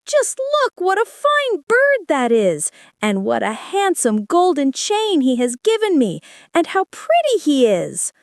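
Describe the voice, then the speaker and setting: A woman's voice: extremely high pitch, wildly swooping pitch, unhurried, no room sound, clean studio sound, voice pushed up, heavily acted. A woman voicing a cheerful, simple-hearted shoemaker who exclaims eagerly. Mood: happy.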